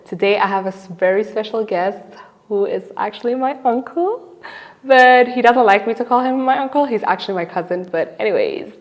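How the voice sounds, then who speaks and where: A woman's voice: medium pitch, fairly quick. A woman hosting a podcast, talking in a casual, conversational interview style. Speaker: podcast host interviewing a relative.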